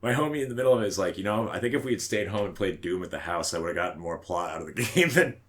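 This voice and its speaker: Male podcast host, low-pitched, casual and foul-mouthed, punches his opinions with emphatic slang. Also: expressive, rapid-fire, a bit bright. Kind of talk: casual chat about movies.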